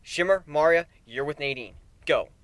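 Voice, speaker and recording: A man's voice: fairly high pitch, moderate pace, fairly bright tone, dry recording, lightly acted. A man voicing a sarcastic, cocky male griffin warrior in a dramatic story reading, mocking and emphatic. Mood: commanding. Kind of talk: dramatic fan fiction reading. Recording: background music under the voice.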